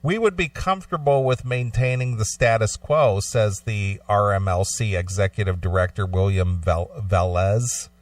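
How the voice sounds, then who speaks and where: A man's voice: fairly low pitch, moderate pace, hardly any pauses, dry recording, distant mic. A man co-hosting a talk show, speaking casually and stumbling now and then as he explains or reads aloud. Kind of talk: casual discussion and reading a news article aloud.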